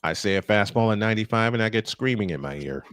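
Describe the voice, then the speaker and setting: A man's voice: fairly low pitch, animated, fast, fairly bright tone, smooth. A man doing live baseball play-by-play, folksy and chatty as he banters with co-hosts. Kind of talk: live sports commentary with casual banter.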